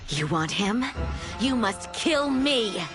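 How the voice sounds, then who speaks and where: A woman's voice: medium pitch, sing-song, takes it slowly, music in the background. A woman playing a brave, compassionate ninja's bride in a game cutscene, speaking with earnest appeal. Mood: defiant. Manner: earnest, pleading. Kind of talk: dramatic video game cutscene acting. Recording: cinematic score under the voice.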